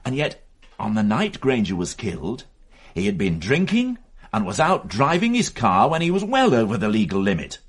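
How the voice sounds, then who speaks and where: A dogged radio drama detective, super swoopy pitch, bit husky, pushing his theory hard. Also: huge pitch swings, normal speed, exaggerated voice. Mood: excited, insistent. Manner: emphatic, insistent, reasoning aloud. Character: dogged male police detective, excitable, sure of his theory. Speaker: investigator. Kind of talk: radio mystery drama acting.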